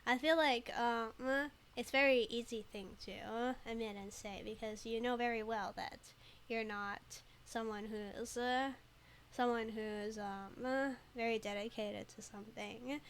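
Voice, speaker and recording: A woman's voice: a little high, steady tone, measured pace, slight background noise. A giggly, rambling woman with a very slightly tinged American accent, a native Mandarin speaker, giving casual commentary as she streams a game. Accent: American with a very slight foreign tinge. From United States, moved there very young.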